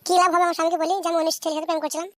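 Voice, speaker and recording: A woman acting as a coy, defiant young Bengali village wife from a comic cartoon. Her voice sits extremely high and races along, wheedling one moment and bickering the next. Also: flat delivery, racing, no room sound, clean studio sound. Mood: pleading, defiant.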